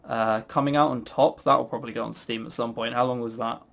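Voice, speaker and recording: A man's voice: medium pitch, steady tone, fast talker, vocal fry, fairly bright tone. A British man hosting a casual podcast, talking in a rambling, blunt, sweary way with frequent stammered false starts. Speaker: podcast host. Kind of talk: casual opinionated chat.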